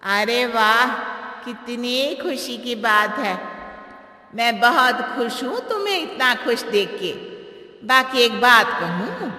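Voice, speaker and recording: A woman's voice: a little high, lively intonation, slow pace, sharp tone, full character voice. Woman acting a cautioning older neighbour aunty, echoey. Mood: curious, pleased. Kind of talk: Hindi cartoon moral story acting. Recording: echoey with long reverb.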